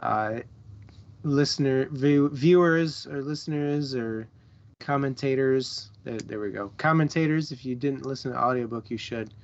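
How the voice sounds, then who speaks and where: A man co-hosting a stream in informal, casual chat, haltingly feeling his way to the right words. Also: mid-range voice, highs cut off. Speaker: co-host of a book club stream.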